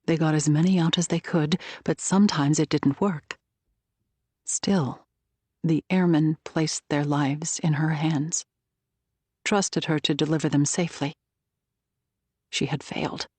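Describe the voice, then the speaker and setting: Female audiobook narrator for wartime thriller: lower-pitched, slow, husky, scripted dramatic read. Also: steady tone, darkish tone, no room sound.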